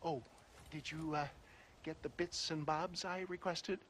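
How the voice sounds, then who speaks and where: A man's voice: fairly high pitch, steady tone, unhurried, a bit bright, a little hiss or hum. An actor playing a flamboyant, theatrical older con-man selling health tonics, with a chuckling, wheedling manner. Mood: theatrical scheming. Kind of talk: video game cutscene acting.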